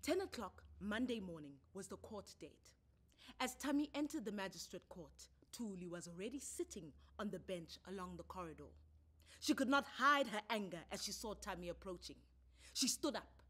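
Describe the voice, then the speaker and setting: A woman with a South African accent reading a short story in an expressive, theatrical way. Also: medium pitch, moderate pace, breathy edge. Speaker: story reader. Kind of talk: dramatic short story narration.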